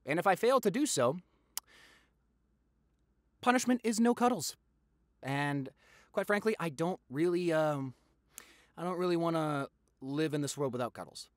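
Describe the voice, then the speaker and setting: A man's voice: a little high, no echo, clean studio sound. A young man playing a gossipy, playful, affectionate boyfriend in an audio roleplay, theatrical and teasing in his delivery. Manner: theatrical, exaggerated, teasing, chatty.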